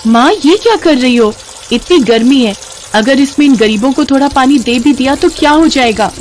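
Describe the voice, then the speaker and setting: A woman voicing a kind-hearted young daughter of a rich family in a moral story, earnest and gently urging. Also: sits high, moderate pace, a little hiss or hum, pitched above the performer's own voice, close to an everyday voice. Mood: pleading.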